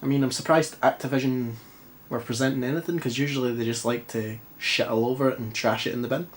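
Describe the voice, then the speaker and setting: A man's voice: mid-range voice, fairly even intonation, quick pace, a little hiss or hum. A Scottish man with a stuffy, congested voice, chatting casually and mocking himself while testing an old console in a dim living room. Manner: chatty, self-mocking, rambling. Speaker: retro game vlogger. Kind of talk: casual chat.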